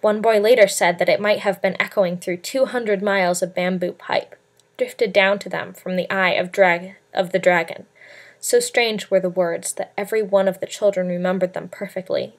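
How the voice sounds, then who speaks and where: A woman's voice: fairly low pitch, fairly even intonation, moderate pace. A woman narrating a novel as an amateur read-aloud, in a scripted storybook style. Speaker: amateur audiobook reader.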